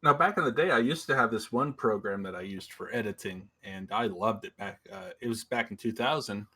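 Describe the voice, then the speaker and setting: A man co-hosting a laid-back live stream, speaking in a somewhat low register. He trips over his own sentences, repeating words and restarting as he works toward his point. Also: a little fast, sharp tone, slightly rough. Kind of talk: casual chat.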